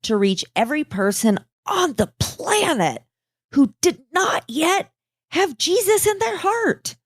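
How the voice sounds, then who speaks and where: Sarcastic woman chatting on a podcast, super expressive pitch, close dry sound, irreverent and sweary. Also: takes it slowly, dry recording. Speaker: podcast co-host. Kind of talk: casual comedic storytelling podcast chat.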